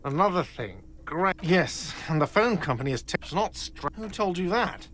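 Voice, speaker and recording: A man's voice: high-pitched, expressive, moderate pace. An irritable innkeeper in a video game. He talks in short, grudging, businesslike lines. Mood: irritated. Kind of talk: video game character dialogue.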